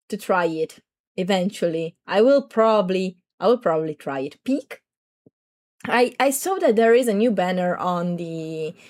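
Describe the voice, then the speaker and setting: Slightly raspy woman rambling on stream. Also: mid-range voice, a little mellow. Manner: rambling, chatty, greets viewers. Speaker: VTuber streamer. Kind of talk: casual livestream chat.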